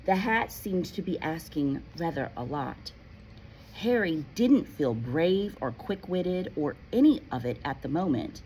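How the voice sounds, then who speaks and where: A woman reading a story aloud in an animated, playful, expressive way, like a teacher. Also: sits low, unhurried, slight background noise, poor audio. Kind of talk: expressive read-aloud narration with casual asides.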